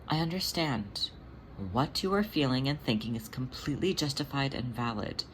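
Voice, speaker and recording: A gentle, motherly she-cat from an audio story , as a narrator puts her on. The delivery is soothing, measured and reassuring. Clear background noise sits behind the voice. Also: mid-range voice, expressive, lightly acted, low-quality recording. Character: Jelly, gentle reassuring older female cat, motherly caregiver.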